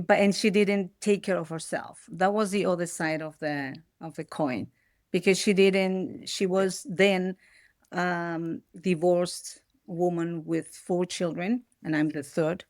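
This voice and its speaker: A woman's voice: on the low side, steady tone, takes it slowly, dry recording, clean studio sound. A middle-aged woman from Peru, raised in the Netherlands, speaking as an as a podcast guest, reflective and often restarting her sentences. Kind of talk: reflective personal interview.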